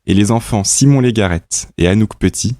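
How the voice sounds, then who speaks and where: A man's voice: fairly low pitch, normal speed, dark tone, dry recording, clean studio sound. A man announcing the cast credits of an audio drama in a scripted read.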